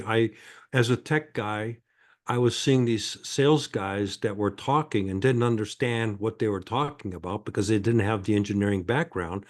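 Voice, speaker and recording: An older man, answering as a guest in an interview in a halting, conversational way. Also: fairly low pitch. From born in France, grew up in Michigan, United States. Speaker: podcast guest, sales and marketing consultant.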